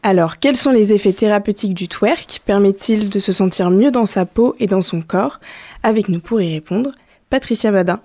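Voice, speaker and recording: A woman hosting a community radio show, first reflecting in a calm, measured voice on her own experience, then interviewing a guest in the studio. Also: medium pitch.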